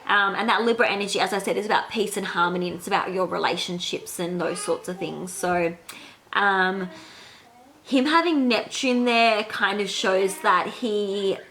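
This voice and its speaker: A woman's voice: mid-range voice, steady tone, moderate pace, fairly bright tone. A woman in her thirties with a cold that makes her sound nasal, casually explaining an astrology reading with many fillers. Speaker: astrology vlogger. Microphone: handheld camera.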